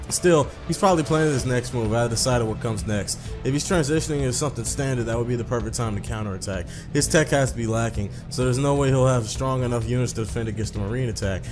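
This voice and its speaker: A young man reads story text aloud as host of a gaming video. His voice is medium-pitched and his delivery informal and profane, with clear music running behind him. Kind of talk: reading a visual novel aloud with casual commentary.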